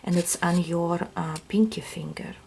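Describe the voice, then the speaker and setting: Russian-accented woman, low gravelly voice, soft hesitant tarot-reading delivery. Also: fairly even intonation, normal speed, a little hiss or hum. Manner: soft-spoken, hesitant, often drops to a whisper. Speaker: tarot reader. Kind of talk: intuitive reading to viewers.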